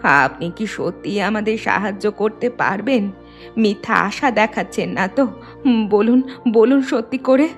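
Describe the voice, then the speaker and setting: A medium-pitched female voice with music faintly beneath. A woman plays a middle-aged village wife in a cartoon, steadfast and earnest, questioning things in a plain-spoken way. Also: animated, measured pace, natural voice. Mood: wary, puzzled. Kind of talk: cartoon drama dialogue. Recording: music under the voice.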